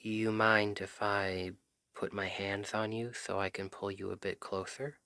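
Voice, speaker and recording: A man acting a shy, earnest best friend in an intimate ASMR roleplay, speaking softly and haltingly. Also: on the low side, level tone, smooth, no echo, studio quality. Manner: hesitant, halting, soft-spoken. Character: shy, earnest male Cupid best friend confessing love.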